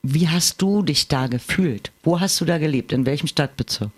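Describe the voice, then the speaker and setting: A man's voice: fairly high pitch, moderate pace. A middle-aged or older man talking casually and personally, asking questions and sharing experience. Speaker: conversation participant asking questions.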